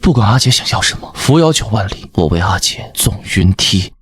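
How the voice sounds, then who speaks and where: A man playing a proud, fierce young king and devoted younger brother in an animated drama, brooding and formal. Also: lowish voice, fairly quick, husky, dry recording. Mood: intense, devoted. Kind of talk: dubbed animated short drama, scripted.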